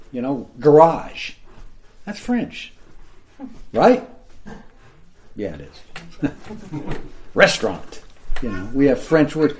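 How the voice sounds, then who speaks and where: A man's voice: on the high side, a little mellow. A man teaching the Bible to a group in a room, explaining in a plain, conversational way and often checking in with his listeners.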